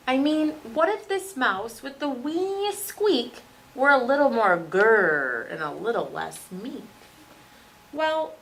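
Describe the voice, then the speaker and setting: A woman schoolteacher reads a children's picture book aloud expressively, with dramatic pauses and emphasis for young listeners. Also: on the high side, rough recording. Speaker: second-grade teacher reading aloud.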